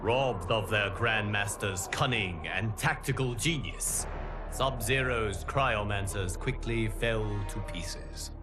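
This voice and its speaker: Slow, solemn guardian of timelines. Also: male voice, lowish voice. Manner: solemn, formal, measured with deliberate pauses. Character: solemn male guardian of timelines addressing his champions. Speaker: narrator. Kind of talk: scripted dramatic game narration. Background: music.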